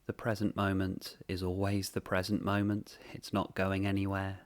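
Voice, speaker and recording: A man leading a guided meditation in a gentle, unhurried way, speaking in short phrases with long pauses. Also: deep voice, completely flat delivery.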